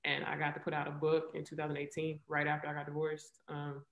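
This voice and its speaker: A woman's voice: deep voice, completely flat delivery, fast talker, dull audio. A young woman in her twenties, speaking as an interview guest over a video call from her living room, candid and reflective, with lots of "um" and "right?". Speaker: interview guest, athlete and poet. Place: living room at home, indoors. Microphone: video call webcam. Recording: roomy echo on a video call.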